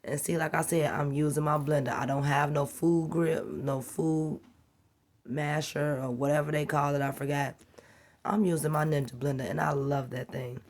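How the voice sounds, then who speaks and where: A woman with a slightly raspy voice from a cold, chatting casually and encouragingly as she walks through an easy home cooking task. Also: sits low, fairly even intonation, moderate pace, a little mellow. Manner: chatty, rambling and encouraging, talks while working. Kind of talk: casual how-to cooking vlog.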